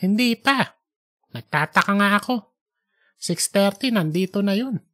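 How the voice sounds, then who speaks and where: A chatty church cleaning lady acted by a narrator, with her pitch lifted well up. She talks plainly and emphatically, like a neighbourly woman sure of what she knows, in an audiobook story. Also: animated, a little slow, pushed and bright. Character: chatty, admiring female church cleaner, older than youth.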